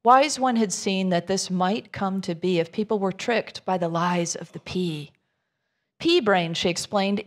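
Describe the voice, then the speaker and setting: A woman's voice: mid-range voice, vocal fry. A middle-aged woman minister reading a rhyming children's story aloud, expressively, with informal asides to her listeners.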